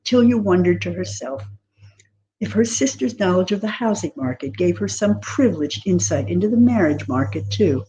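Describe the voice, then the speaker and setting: A woman author giving an expressive literary reading of scripted narration from a novel. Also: on the low side, moderate pace, poor audio. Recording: clear background noise.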